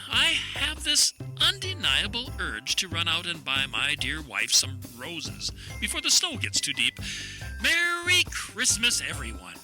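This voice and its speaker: A man playing a showy, folksy travel agent giving a TV pitch in a comedic audio drama. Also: full character voice.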